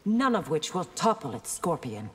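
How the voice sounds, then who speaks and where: Poised princess fighter, assured, expressive pitch, echoey game audio. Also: female voice, moderate pace, slightly rough, heavily acted. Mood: poised, confident. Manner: formal, assured. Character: poised, confident royal princess warrior. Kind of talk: dramatic fighting game dialogue. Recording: reverberant hall-like game mix.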